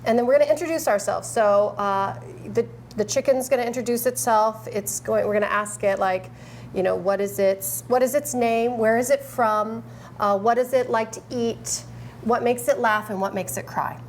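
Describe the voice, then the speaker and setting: A woman's voice: fairly high pitch, moderate pace, darkish tone, a little hiss or hum. A woman improv teacher in an interview, explaining puppet games in an upbeat, explanatory way.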